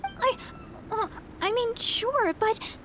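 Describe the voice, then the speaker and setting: An actress voicing a meek, dutiful, flustered young nun in a video game, hesitant and stammering. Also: female voice, extremely high pitch, lively intonation, takes it slowly, pushed and bright, slight background noise, exaggerated voice.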